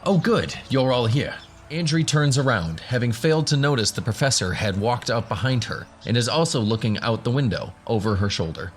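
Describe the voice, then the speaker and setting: A man's voice: medium pitch, lively intonation, brisk, few pauses, a little hiss or hum. A man narrating a scripted fantasy audio drama in the role of game master.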